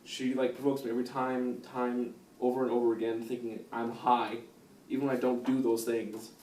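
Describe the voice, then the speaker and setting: A man's voice: mid-range voice, level tone, moderate pace, a little mellow, close to an everyday voice. A man playing a defensive sixteen-year-old son in family therapy, in an amateur role-play. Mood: aggrieved, complaining. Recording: roomy, distant mic with faint noise.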